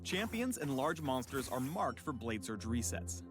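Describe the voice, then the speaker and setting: A man's voice: mid-range voice, big pitch swings, measured pace, over music. A man reading scripted promotional tutorial narration for a video game spotlight, with playful wording.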